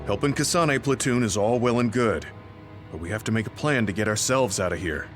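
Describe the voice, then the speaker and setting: A man playing a gruff, pragmatic squad mate in a video game dub. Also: on the low side, fairly quick, close to an everyday voice. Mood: wary. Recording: background music under the voice.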